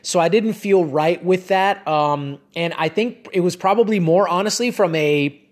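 A man in his late thirties talks alone into a podcast microphone in a loose, rambling way. His voice sits a little high for a man, and he stops often with "um" and "you know" and starts his sentences over. The delivery is relaxed and conversational, like catching up with regular listeners. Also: moderate pace. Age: 30s. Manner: casual, rambling, with frequent fillers and restarts. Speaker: podcast host and cycling coach. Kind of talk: solo podcast monologue.

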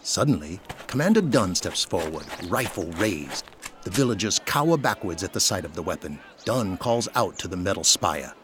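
A man's voice: deep voice, big pitch swings, moderate pace, noisy background. A man reading scripted dramatic narration for an audio drama.

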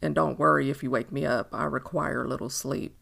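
A woman voicing a confident, tender man with a low baritone, courting his sweetheart in an audiobook romance. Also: steady tone, a little fast, vocal fry, a bit bright, exaggerated voice. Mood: reassuring, concerned.

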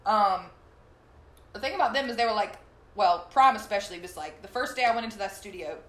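A young woman with a low, slightly raspy voice, talking loosely as a guest on a podcast. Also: expressive, fairly quick, a bit bright. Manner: chatty, loose, lots of fillers. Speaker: guest singer and artist. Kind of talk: casual podcast chat. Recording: roomy echo.

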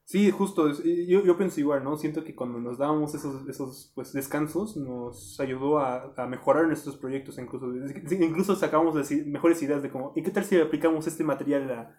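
A man's voice: fairly high pitch, fairly quick, few pauses. A young man, an architecture student reflecting casually on teamwork. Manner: relaxed, reflective, lots of fillers. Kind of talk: casual group chat.

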